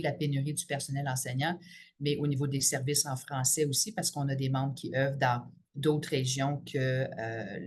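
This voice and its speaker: Measured Franco-Ontarian woman interviewee. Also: a bass voice. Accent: Franco-Ontarian French. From Ottawa region, Ontario, Canada. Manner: measured, frequent "euh" and "là" fillers. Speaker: teachers' union president, guest. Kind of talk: podcast interview.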